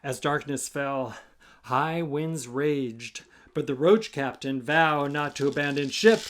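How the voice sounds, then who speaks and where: Male children's author for storytime read-aloud. Unhurried, rhythmic, theatrical, speaking to kids. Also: on the high side. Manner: theatrical, rhythmic, deliberate, addressing young listeners. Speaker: children's author reading own book.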